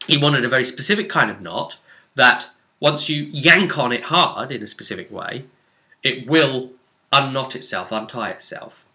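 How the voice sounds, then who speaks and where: A British man with a very bright, forceful voice recaps a role-playing game as the game master. He tells it informally and dramatically, breaking into exclamations, asides and rhetorical questions. His pitch sits in an ordinary middle range for a man. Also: lively intonation, moderate pace. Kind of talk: animated informal storytelling to camera.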